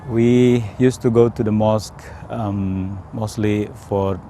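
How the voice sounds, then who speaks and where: A man from Indonesia with an Indonesian accent, telling his personal story in a hesitant, careful way with frequent pauses. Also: on the low side, fairly even intonation, mellow, a little hiss or hum. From Indonesia, South Sumatra. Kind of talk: unscripted personal testimony.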